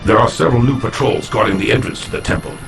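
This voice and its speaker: Gravelly, stern alien squad commander. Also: male voice, lowish voice, fast talker, over music. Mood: stern briefing. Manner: terse, commanding. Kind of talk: scripted game mission briefing.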